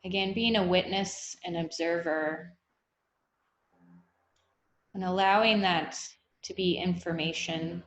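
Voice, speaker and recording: Slow-paced woman guiding meditation, echoey room. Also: fairly low pitch, steady tone, slight background noise. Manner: unhurried, gently instructive with long pauses. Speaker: meditation guide. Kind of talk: guided meditation, body scan.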